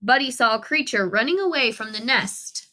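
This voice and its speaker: A woman's voice: on the high side, expressive, moderate pace, sharp tone. A woman teacher reading a children's story aloud expressively, with dramatic emphasis for young listeners.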